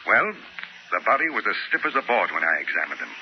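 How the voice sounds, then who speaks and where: A man's voice: lowish voice, very animated, quick pace. An actor playing a matter-of-fact male medical examiner, emphatic and assured, in 1940s radio style. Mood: puzzled, assured. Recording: muffled, noisy 1940 radio broadcast.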